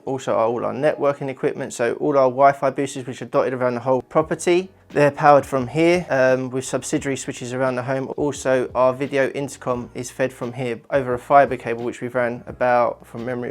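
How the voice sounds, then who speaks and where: A man with a mid-pitched voice walks viewers through an installation project. He explains things informally, like a tradesman presenting his own work on a company channel. Music plays along with his voice.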